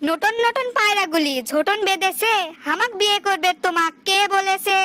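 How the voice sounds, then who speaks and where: Feisty, nagging village wife for a comic cartoon, played by a woman: extremely high, sharp voice, northern Bangladeshi accent, rhyming complaints. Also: fairly quick, a little hiss or hum. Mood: indignant nagging. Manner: complaining, sing-song rhyming delivery. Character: feisty, long-suffering, nagging village wife bickering in rhyme. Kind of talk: comic dialect cartoon dialogue.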